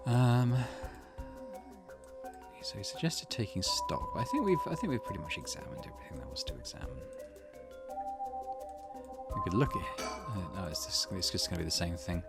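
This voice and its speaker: A man's voice: deep voice, sing-song, normal speed, gravelly, pauses often, over loud music. A man hosting a gaming video, giving casual let's-play commentary and thinking his choices through aloud. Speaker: gaming channel host.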